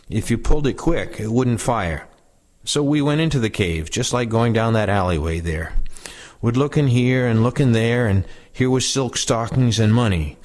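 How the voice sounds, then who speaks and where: A man reading first-person war recollections aloud as a reader of oral histories. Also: fairly low pitch.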